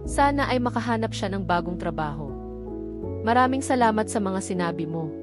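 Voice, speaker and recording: A woman's voice: mid-range voice, expressive, brisk, natural voice. A woman with a Filipino accent voicing an earnest, hurt young woman confiding at length in an audiobook story. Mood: hopeful, earnest. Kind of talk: audiobook dialogue. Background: background music.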